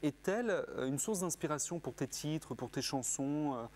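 A man's voice: fairly high pitch, animated, normal speed, darkish tone. Guy in his 30s doing a tv interview in an empty theater, lots of ums, playful, lapel mic, faint hum. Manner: hesitant, many fillers, playful.

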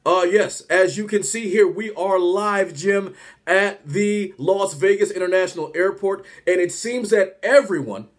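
A man voicing a male TV news reporter on location, talking in a slow, drawn-out newscaster style. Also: sits high. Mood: amazed.

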